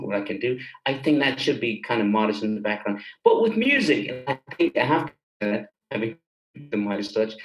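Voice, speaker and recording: A man from Germany talking casually as an interview guest, explaining things with enthusiasm as a film director and composer. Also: lowish voice. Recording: roomy, echoey sound.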